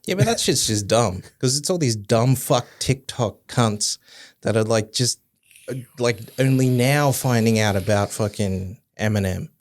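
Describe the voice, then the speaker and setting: A blunt man on a casual podcast, swearing freely as he rants. His voice sits at a middling pitch and swings widely up and down as he talks. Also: expressive, a little slow. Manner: profane, ranting, blunt. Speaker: podcast co-host. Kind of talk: casual chat.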